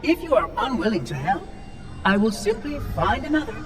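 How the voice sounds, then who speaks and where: Figure: a cold, eerie robot monitor. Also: male voice, sits high, very animated, normal speed, over music. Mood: menacing. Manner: formal, precise, detached. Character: calm, eerie, coldly polite floating male robot monitor. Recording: distant voice under game score.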